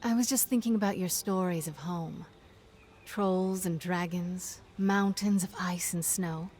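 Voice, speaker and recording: An actress playing a self-assured pirate captain in a game, with a wry, teasing delivery. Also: female voice, fairly low pitch, fairly even intonation, unhurried, darkish tone, lightly acted. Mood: teasing, reflective. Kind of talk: cinematic game acting.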